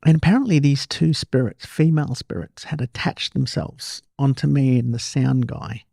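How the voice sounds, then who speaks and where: An Australian man hosting a podcast, chatting casually and telling stories in an animated way, repeating himself for emphasis. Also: medium pitch, moderate pace, creaky, no room sound, clean studio sound. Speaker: podcast host. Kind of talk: casual interview chat, storytelling.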